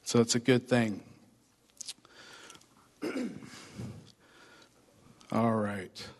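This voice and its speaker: A man with a hoarse voice, pastoring a church, giving chatty announcements and a short sermon to his congregation. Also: fairly low pitch, fairly even intonation, extremely slow, darkish tone. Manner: upbeat, chatty, addresses congregation directly. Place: church sanctuary. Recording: long reverb of a church hall.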